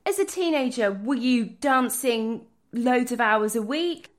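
Lively-voiced woman hosting an interview. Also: on the high side, unhurried. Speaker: interviewer, host. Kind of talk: conversational interview.

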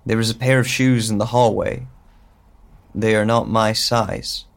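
A man's voice: on the low side, steady tone, unhurried, gravelly. An actor playing a bewildered, lonely man with lost memory in a hesitant, introspective audio drama monologue. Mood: pensive, uneasy. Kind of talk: slow first-person audio drama monologue.

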